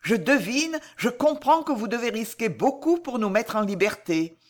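A woman voicing a gentle, dignified noble mother in an audiobook novel, speaking solemnly and earnestly. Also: mid-range voice, expressive, normal speed, voice pushed up, lightly acted. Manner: solemn, tender, formal theatrical speech.

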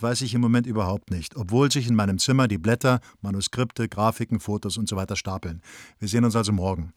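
An actor playing a brisk, wry playwright who reads his letters in a matter-of-fact way in a radio drama. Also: medium pitch, no echo, studio quality, lightly acted.

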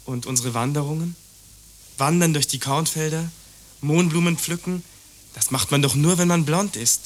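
An actor playing an obtuse, prejudiced young lover in a radio play, condescending and evasive. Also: male voice, fairly high pitch, normal speed, natural voice. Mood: placating. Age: young adult. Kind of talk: German radio play dialogue.

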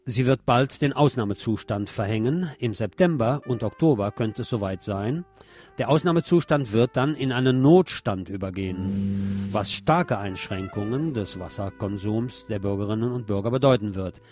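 A man's voice: fairly low pitch, moderate pace, darkish tone. Man presenting radio news over music. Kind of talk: scripted news commentary. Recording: music bed under the voice.

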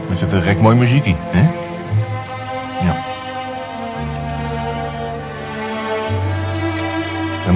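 A homely, gruff man with a bluff, colloquial way of talking. His voice is very deep. It is a muffled old radio drama recording with music clearly behind him. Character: Bob Carter, plain gruff homely man at breakfast.

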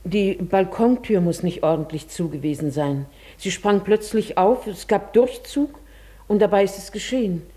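A woman acting in a German radio play as a genteel, proud lady who is secretly desperate, polite and composed, with a husky voice. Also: medium pitch, moderate pace, dark tone, lightly acted. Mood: polite, strained. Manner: polite, composed, confiding when talking to herself.

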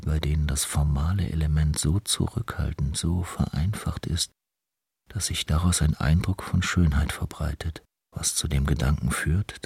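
Very deep, creaky-voiced diarist composer. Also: male voice, no room sound, full character voice. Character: weary, irritable male composer reading his studio diary. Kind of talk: scripted diary reading in an experimental radio play. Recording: loud music under the voice.

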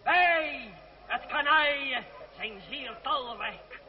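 An actor playing a fiery young French revolutionary, giving declamatory, impassioned speeches to townsfolk in a radio drama. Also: male voice, extremely high pitch, extremely slow, exaggerated voice. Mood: defiant. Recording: dull lo-fi radio recording, noisy crowd. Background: crowd noise.

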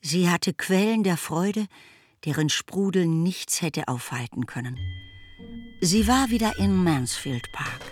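An actress playing a novelist narrator, fond of her heroine, articulate and measured in an audio drama. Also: on the low side, lively intonation, no echo, faint music behind. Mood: composed, fond.

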